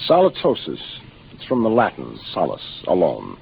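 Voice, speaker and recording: A man's voice: deep voice, wildly swooping pitch, normal speed, creaky, full character voice. An American man playing a seasoned, matter-of-fact spaceship captain in 1950s radio drama style, commanding as he explains things. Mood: grave, explanatory. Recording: muffled, noisy 1950s radio broadcast.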